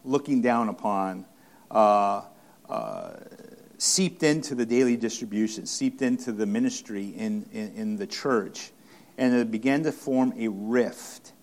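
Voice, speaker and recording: A man preaching an expository sermon, speaking haltingly with many restarts, fillers and repeated phrases for emphasis. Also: fairly low pitch, expressive, darkish tone. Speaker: pastor.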